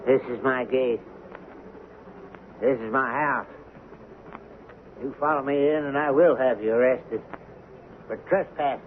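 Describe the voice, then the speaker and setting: An actor playing a frightened, guilt-ridden middle-aged husband, pleading and agitated, in old-time radio drama style. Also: male voice, mid-range voice, a little slow, long pauses, natural voice. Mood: fearful. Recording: muffled, hissy vintage radio recording.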